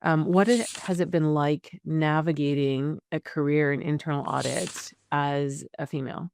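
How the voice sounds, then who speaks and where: A woman's voice: low voice, fairly even intonation, moderate pace, vocal fry, a little mellow, a little hiss or hum. A middle-aged woman hosting a podcast interview, chatting in a conversational, encouraging way. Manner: conversational, encouraging, with frequent fillers and restarts. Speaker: podcast host. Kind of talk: casual interview chat.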